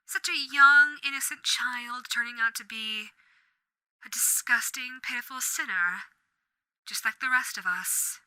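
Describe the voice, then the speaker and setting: A woman performs a suave, sadistic female radio demon with old-fashioned manners and a theatrical, toying delivery. The voice is raised and very bright, recorded dry and close. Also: fairly high pitch, no echo, heavily acted, rough recording. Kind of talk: audio roleplay.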